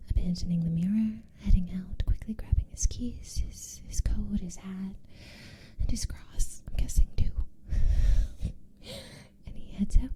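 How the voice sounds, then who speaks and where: A woman's voice: mid-range voice, dark tone. A woman whispering a casual recap of a TV series, with lots of "like" and "you know".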